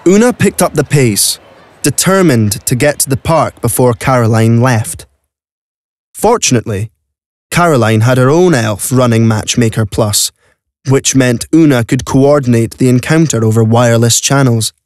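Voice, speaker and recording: A man's voice: medium pitch, moderate pace, a little mellow, dry recording, clean studio sound, close to an everyday voice. A man narrating a scripted audio drama as the storyteller.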